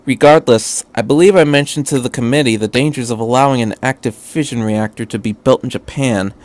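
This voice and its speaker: A man voicing a composed, concerned female military scientist in a fan story, measured and formal. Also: medium pitch, a little mellow, close to an everyday voice. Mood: uneasy.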